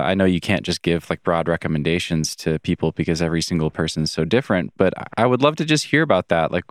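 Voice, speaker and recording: A man's voice: low voice, smooth, no room sound, studio quality. A man hosting a podcast interview, asking long, loosely phrased questions in a casual way. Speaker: podcast host.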